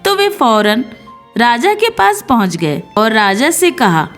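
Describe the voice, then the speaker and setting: A woman's voice: on the high side, sing-song, slow. A woman narrating scripted Bible stories in an earnest devotional storytelling style. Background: background music.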